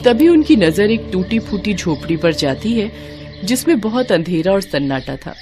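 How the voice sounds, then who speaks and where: A woman's voice: medium pitch, big pitch swings, moderate pace, music in the background. A woman narrator reading a scripted devotional moral story.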